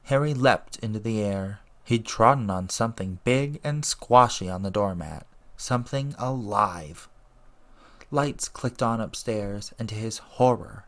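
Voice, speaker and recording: A man's voice: lowish voice, unhurried. A man reading a story aloud as narrator, in scripted audiobook style. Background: music and ambience.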